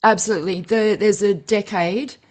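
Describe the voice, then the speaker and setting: A woman co-hosting a podcast in casual conversation, open and expressive as she shares personal stories. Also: medium pitch, moderate pace. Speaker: podcast co-host.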